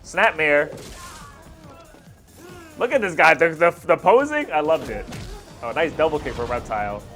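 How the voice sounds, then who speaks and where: A man with a fairly high voice gives excited play-by-play reaction commentary. He talks in casual, slangy bursts like an online video host. Music plays behind his voice. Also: unhurried. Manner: casual slang, hyped exclamations.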